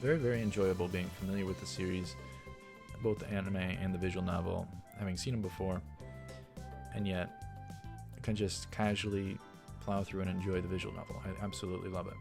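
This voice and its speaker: A man's voice: sits low, level tone, a little fast, gravelly. A man hosting a let's play, reading a visual novel aloud with rambling, enthusiastic commentary. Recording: game music behind the voice. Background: video game soundtrack.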